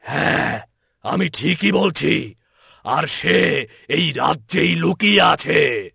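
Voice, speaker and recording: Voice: medium pitch, lively intonation, takes it slowly, vocal fry, bright, forceful tone, breathy edge, no room sound, clean studio sound, voice pushed up, exaggerated voice. A man voicing a cryptic, foreboding old holy man in a fairy-tale cartoon. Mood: ominous certainty.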